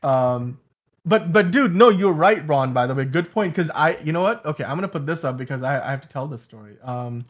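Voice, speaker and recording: A man's voice: on the high side, lively intonation, fairly quick. Chatty man on a lo-fi stream. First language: Urdu. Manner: chatty, self-correcting, laughs often. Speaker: podcast co-host. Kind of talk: casual livestream chat.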